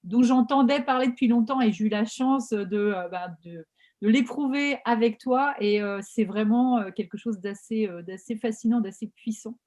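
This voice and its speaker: This is a woman host with a somewhat high voice who talks chattily and with energy while she interviews a guest. She leans on fillers and restarts, and she is speaking over a video call. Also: normal speed, darkish tone. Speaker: podcast host and coach. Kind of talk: casual interview hosting.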